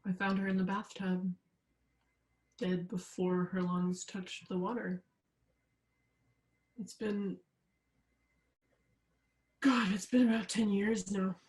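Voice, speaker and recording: A woman playing an earnest, vulnerable young woman haunted by grief in a staged reading, speaking in an urgent, halting way. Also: mid-range voice, flat delivery, a little mellow, natural voice, poor audio. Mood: hurt, subdued.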